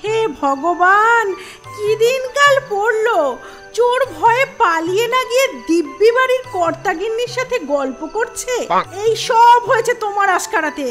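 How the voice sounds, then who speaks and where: A woman's voice: very high voice, expressive, normal speed, music in the background. A woman voicing a short-tempered housewife in a comic cartoon, scolding and nagging with sarcastic indignation. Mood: appalled.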